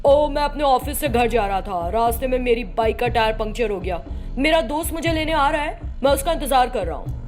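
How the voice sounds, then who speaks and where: A woman's voice: on the high side, lively intonation, brisk, close to an everyday voice. A woman voicing an anxious, chatty male office worker in a story, exclamatory and dropping in English phrases. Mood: polite, curious. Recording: loud music behind voice.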